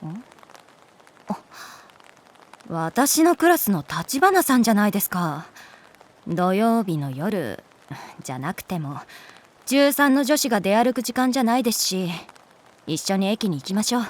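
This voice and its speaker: Brisk, expressive female schoolteacher. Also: mid-range voice. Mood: firm, polite, exasperated. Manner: shifts between polite firmness and casual bluntness. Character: female schoolteacher, brisk, teasing, coolly pragmatic. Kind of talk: Japanese audio drama acting.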